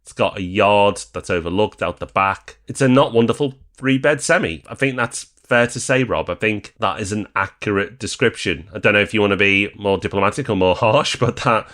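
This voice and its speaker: A man's voice: fairly low pitch, normal speed, hardly any pauses, a bit bright. A man speaking conversationally as a podcast co-host about property, in an informal, bantering manner.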